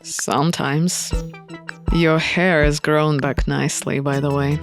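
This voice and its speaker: A woman's voice: low-pitched, steady tone, takes it slowly, faint music behind, voice pushed down. A woman voicing a guarded young man, an earnest rebel schemer in a visual novel read-aloud. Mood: calm, matter-of-fact.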